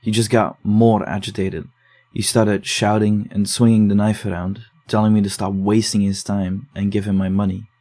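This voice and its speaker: An actor playing a dogged, low-key man investigating case files in a horror audio drama, speaking in a subdued, measured way. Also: little pitch movement, warm tone. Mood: earnest, narrating. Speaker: investigator digging through case notes.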